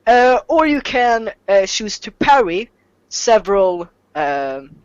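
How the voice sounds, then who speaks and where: Dull-sounding, halting Swedish-speaking game master, sex unclear. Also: medium pitch, sing-song, smooth, no room sound. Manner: halting, self-deprecating, jokey. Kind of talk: explaining tabletop game rules, off the cuff.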